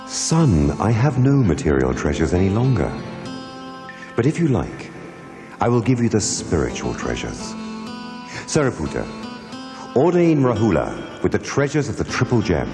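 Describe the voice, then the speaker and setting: A man acts out a wise, compassionate master in a religious cartoon. His voice is low and swings widely in intonation, yet stays solemn and authoritative. It rings in a long reverb over music. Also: huge pitch swings, moderate pace, pauses often. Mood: serene. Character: calm, wise enlightened male spiritual teacher, firm and compassionate. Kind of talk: animated religious drama dialogue. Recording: echoey hall reverb, music behind.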